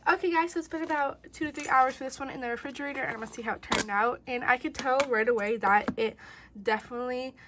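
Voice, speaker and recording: A woman's voice: high-pitched, fast talker. A young woman with a head cold, hosting a casual slime and toy tutorial from her bedroom in a bubbly, upbeat way. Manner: bubbly, upbeat, repeats "you guys". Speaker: toy and slime channel host. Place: bedroom, indoors. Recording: loud air conditioner hum. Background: air conditioner noise.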